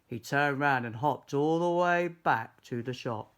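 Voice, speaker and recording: A man reading a children's story aloud in an expressive, deliberate, animated way. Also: mid-range voice, clear, resonant tone. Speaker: storybook reader.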